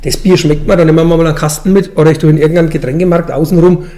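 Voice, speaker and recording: Male, forties, Franconian dialect, brewmaster interviewee; quick, relaxed-sounding, colloquial explanations. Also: a little high. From Franconian Switzerland, Bavaria, Germany. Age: 40s. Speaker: brewmaster and brewery owner, interviewee.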